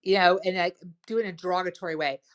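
Loud, enthusiastic lower-voiced woman. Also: steady tone, racing. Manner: lots of "like" and "right?". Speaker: host. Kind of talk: casual advice chat.

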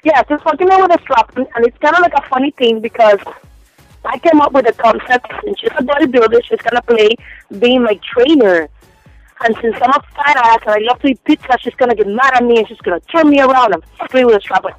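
A woman's voice: a little high, fast talker, soft background music. Spanish-accented woman on a dull phone line. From Cuba. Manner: frank, bubbly, giggly. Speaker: phone-in guest. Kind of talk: casual radio interview. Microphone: telephone.

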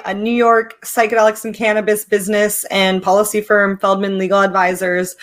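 Level-toned woman explaining policy. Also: mid-range voice. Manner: measured, explanatory. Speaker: guest, legal counsel. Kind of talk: radio interview.

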